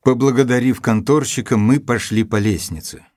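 An actor playing a composed gentleman doctor who narrates a detective story in a literary manner. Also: medium pitch, steady tone, normal speed, no echo, studio quality, lightly acted. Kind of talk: scripted narration.

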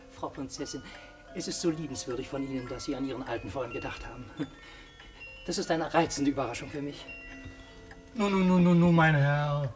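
Actor as a cranky, excitable old shopkeeper man. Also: on the high side, moderate pace, long pauses, soft background music, close to an everyday voice. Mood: effusive, fussy. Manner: fussy, agitated, repeating himself. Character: cranky, eccentric old male junk shop dealer. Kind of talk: German radio play acting.

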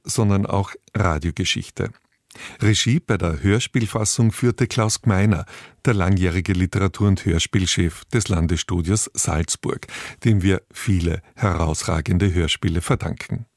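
A man presents a scripted radio introduction in a low voice. His delivery is measured, factual and formal. The sound is dry, as in a studio with no room echo.

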